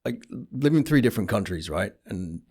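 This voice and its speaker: A man born in England and raised in Switzerland, an actor guest chatting casually and telling animated stories. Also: on the low side, fairly quick, slightly rough. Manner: animated storyteller, swings between eager and soft asides. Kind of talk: casual interview chat.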